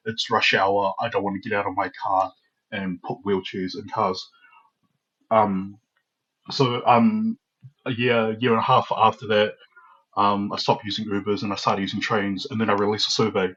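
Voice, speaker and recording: Young guy from new zealand chatting on a podcast, kinda husky low voice, acts out the quotes, bit lo-fi. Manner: lively storyteller who acts out his own quotes. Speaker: podcast guest, entrepreneur. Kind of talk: casual storytelling interview.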